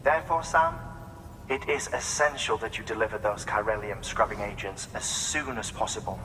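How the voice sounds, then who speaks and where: A man's voice: lowish voice, normal speed, background noise, lightly acted. An actor playing a courteous male scientist who speaks in a formal, lecturing manner. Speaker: scientist character.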